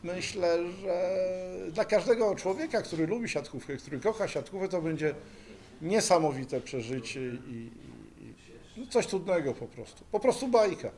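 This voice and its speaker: A man's voice: on the high side, darkish tone. A man speaking informally in an interview, with an enthusiastic, emphatic manner. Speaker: volleyball museum founder being interviewed.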